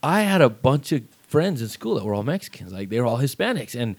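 A man's voice: medium pitch, very animated, fast talker. A middle-aged man from Texas, co-hosting a podcast. He speaks earnestly in casual chat and often repeats words as he starts a sentence. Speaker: podcast co-host.

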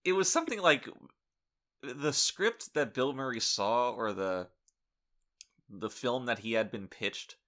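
A young man co-hosting a podcast, making dry deadpan jokes in casual chat and restarting his sentences often. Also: mid-range voice, a little slow, pauses often. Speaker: podcast co-host.

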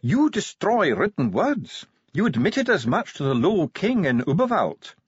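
A man's voice: sits high, very animated, measured pace, breathy edge, no room sound, highs cut off, voice pushed up, full character voice. A man voicing a haughty dwarf official in an audiobook, pedantic and condescending, with cool, needling venom. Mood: accusing. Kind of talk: audiobook character acting.

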